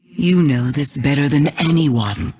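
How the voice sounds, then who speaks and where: A woman voice actor playing a sly, manipulative witch queen in a video game, very breathy and husky. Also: sits low, animated, measured pace, dark tone, heavily acted. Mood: silky.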